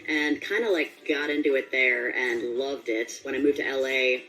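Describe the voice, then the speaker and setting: A woman gives a casual interview in a strikingly low voice. She rambles informally and with enthusiasm, and faint music plays behind her. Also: normal speed, fairly bright tone, low-quality recording. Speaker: voice actor being interviewed.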